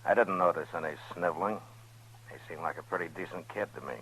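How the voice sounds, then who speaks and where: An actor playing a firm, weary, fair-minded US marshal in a radio western, blunt, laconic and authoritative. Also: male voice, low voice, fast, bright, forceful tone, slightly rough, heavily acted. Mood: stern. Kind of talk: 1950s radio western acting. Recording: dull old radio recording.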